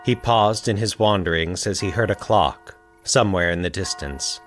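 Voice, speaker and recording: A man hosting and narrating a fiction podcast in a deliberate, literary storytelling delivery. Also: low voice, soft background music.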